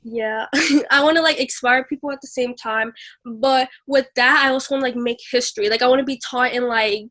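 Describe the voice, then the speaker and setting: A teenage girl with a stutter, answering interview questions on a video call, full of "like" fillers and sometimes speaking slowly. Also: high voice, steady tone, fairly bright tone. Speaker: guest. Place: small room indoors.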